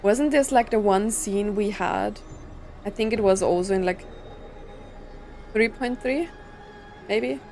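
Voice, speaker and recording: A woman's voice: mid-range voice, takes it slowly, pauses often, darkish tone, slight background noise. A woman giving casual playthrough commentary on a game, thinking aloud in a musing, tentative way. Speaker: gaming content creator.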